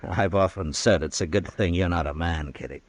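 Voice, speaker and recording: An actor playing a stern, weary Old West marshal in a 1950s radio western, speaking tersely and with authority. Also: male voice, low voice, moderate pace, no echo, lightly acted. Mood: dry, wry.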